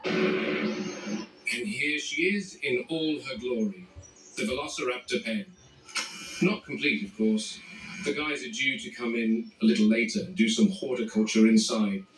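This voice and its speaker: A man playing a grave, wary animal expert who narrates found footage in measured, articulate, formal phrases. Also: medium pitch, a bit bright, soft background music, natural voice. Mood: critical, dry. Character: male game warden and animal expert, grave, wary, exacting. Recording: echoey, noisy VHS-style found footage, distant.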